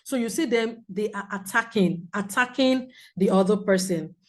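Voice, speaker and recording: A woman counsellor with a loud voice, teaching a group in a clear, measured way with examples. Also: medium pitch. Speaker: premarital counsellor. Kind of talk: teaching a seminar talk.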